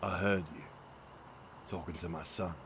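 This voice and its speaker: An actor playing a gruff, awkward middle-aged father with a gravelly voice and terse, halting speech in an audio drama. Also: low voice, steady tone, background noise, natural voice. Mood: subdued, regretful.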